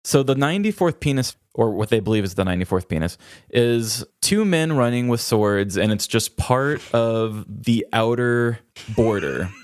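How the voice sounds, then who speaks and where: Guy cohosting a comedy podcast, jokey, reads article quotes, pitch bounces around a lot. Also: clear, resonant tone, no room sound, clean studio sound. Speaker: podcast co-host. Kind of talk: casual comedic chat.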